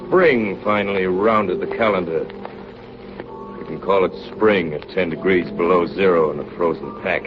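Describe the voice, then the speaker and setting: Expedition log narrator male actor, deep, grave, measured, vintage radio drama, music underneath. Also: lightly acted. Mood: grave, tense. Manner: measured, somber. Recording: dull 1930s radio broadcast with music.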